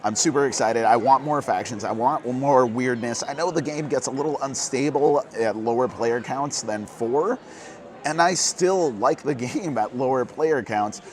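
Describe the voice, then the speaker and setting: Hoarse man, casual news host, earnest and quick, convention hall background. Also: medium pitch, a little mellow. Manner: earnest, quick, gets fired up. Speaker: board game news host.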